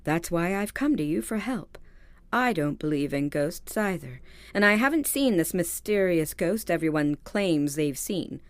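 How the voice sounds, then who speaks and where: A woman's voice: on the low side, lively intonation, measured pace, no echo. A woman acting in a radio-style mystery drama as a polite, anxious store heiress, speaking in a formal, earnest, dramatic way. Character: polite, anxious female department store heiress seeking help. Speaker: actor in an audio drama.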